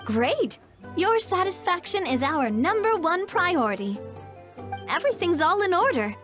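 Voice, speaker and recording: Sweet young game heroine, very high voice, lively swooping intonation, gushing, theatrical. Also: female voice, measured pace, exaggerated voice. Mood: cheerful. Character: sweet, exuberant young lady who adores desserts. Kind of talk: video game voice acting. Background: faint game music.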